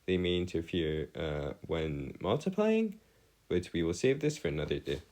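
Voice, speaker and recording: A man tutoring in an improvised explanatory lesson, with formal wording and halting, self-correcting delivery. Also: deep voice, big pitch swings, darkish tone. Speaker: math tutor.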